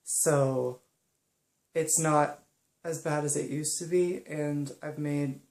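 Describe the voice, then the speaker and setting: A young woman speaking softly and hesitantly in a personal monologue, pausing often. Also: deep voice, fairly even intonation, reverberant. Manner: soft-spoken and hesitant, many pauses and ums. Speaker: vlogger.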